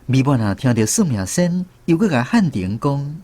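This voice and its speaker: A man's voice: medium pitch, very animated, moderate pace, warm tone. A man with a Taiwanese accent narrating a radio drama as a scripted storyteller.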